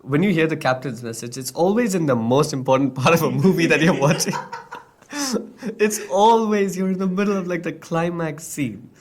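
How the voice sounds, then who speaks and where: A man's voice: on the high side, lively intonation, moderate pace, a crowd in the background. A young man hosting a casual podcast, playful and often laughing. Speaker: podcast host.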